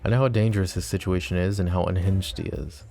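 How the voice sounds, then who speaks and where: A possessive mafia boss with a very low, gravelly voice and a dark, mellow tone, as a man acts him out. He teases with smug ease and turns cold when he threatens. Faint music sits under this audio drama. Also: fairly even intonation, quick pace. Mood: wry. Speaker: voice actor. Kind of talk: ASMR roleplay audio drama.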